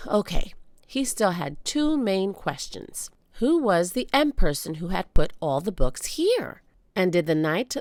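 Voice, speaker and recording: A woman reading a children's book aloud as an animated storyteller, with dramatic emphasis. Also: on the low side, no room sound. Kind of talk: expressive read-aloud storytelling.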